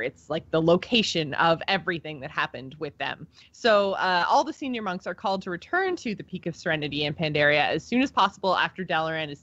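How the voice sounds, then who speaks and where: A woman's voice: lowish voice, fairly bright tone, no room sound, highs cut off. A woman co-hosting a podcast, talking through game lore in a chatty, informal, enthusiastic way. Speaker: podcast co-host. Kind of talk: casual discussion of video game lore.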